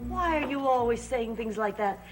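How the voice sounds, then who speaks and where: An actress playing a fragile, frightened mother in a vintage television soap, speaking in a halting, dreamy, theatrical way. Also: on the high side, expressive, close to an everyday voice. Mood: agitated, accusing. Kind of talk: 1960s-70s television soap melodrama. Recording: old TV soundtrack under loud music.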